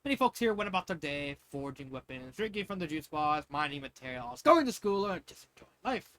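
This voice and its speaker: A man's voice: high-pitched, expressive, fairly quick, fairly bright tone. A man narrating fan fiction in an informal, amateur way, stumbling over words with casual asides.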